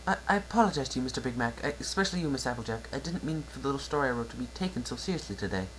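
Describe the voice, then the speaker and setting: Someone voicing an awkward, lovestruck princess pony, raised pitch. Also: animated, very fast, fairly bright tone, slight background noise, natural voice, poor audio. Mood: flustered. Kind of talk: cartoon fan-fiction dramatic reading.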